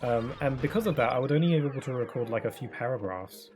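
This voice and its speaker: A man's voice: mid-range voice, lively intonation, fast talker. A young man chatting casually while streaming a video game, rambling with lots of fillers and restarts. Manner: rambling, soft-spoken, many fillers and restarts. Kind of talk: casual chat while gaming. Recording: game music playing behind.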